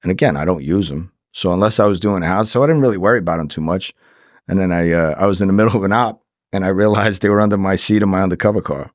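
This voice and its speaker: A man's voice: deep voice, quick pace, dry recording, clean studio sound. A middle-aged man telling anecdotes casually as a podcast guest, acting out the dialogue and swearing freely. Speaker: podcast guest, former undercover agent.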